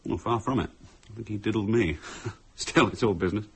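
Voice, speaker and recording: An actor playing a breezy, glib husband with a jovial, flippant manner in a radio play. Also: male voice, on the low side, fairly quick, a bit bright, slightly rough, exaggerated voice. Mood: glib, joking. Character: breezy, glib husband smoothly explaining himself.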